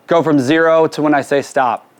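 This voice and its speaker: Male music producer, informal and directive, hands-on tutorial delivery, voice a bit high, faintly noisy drum studio sound. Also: steady tone, normal speed. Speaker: music producer giving a mixing demonstration. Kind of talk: casual hands-on tutorial, giving instructions to crew. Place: recording studio with drum kit and PA.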